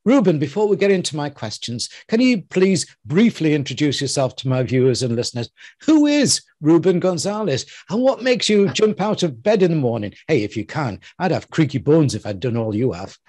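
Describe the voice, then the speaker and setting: An older man hosts with a lively, swooping delivery, throwing big emphasis on his words. His voice sits fairly high for a man and sounds close and dry, with no room around it. He speaks into a headset mic, as if introducing a guest in a studio. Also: moderate pace, no echo. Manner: lively, playful, theatrical emphasis. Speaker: host. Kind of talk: interview intro and questions.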